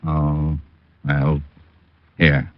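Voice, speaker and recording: An actor playing a blunt, easily exasperated police detective in an old radio crime drama. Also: male voice, extremely low pitch, monotone, drawn-out words, no echo, full character voice. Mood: flustered. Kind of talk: 1940s-50s radio detective acting. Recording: dull vintage radio recording.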